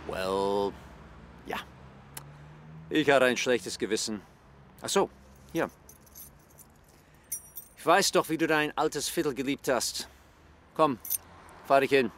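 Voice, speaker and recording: An actor playing a calculating, persuasive American intelligence handler in a German radio crime drama. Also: male voice, mid-range voice, slow, pauses often, a little hiss or hum. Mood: friendly. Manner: drops English phrases into German. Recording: produced radio play with loud music.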